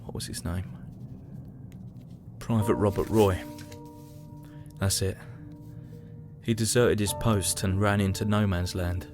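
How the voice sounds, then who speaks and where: Man acting a low-voiced, haunted young British soldier. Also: fairly even intonation, slow, pauses often. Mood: somber, weary. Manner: somber, reflective, dramatic. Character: young, weary British soldier in 1917 trenches. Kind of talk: first-person audio drama diary narration. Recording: music behind the voice. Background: dramatic music.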